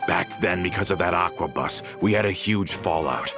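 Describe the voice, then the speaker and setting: An actor playing a bitter, vengeful former mafia member in a video game, with theatrical, accusing delivery that dwells on each phrase. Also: male voice, deep voice, normal speed, vocal fry, music in the background. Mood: bitter reminiscence. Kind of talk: dramatic video game voice acting.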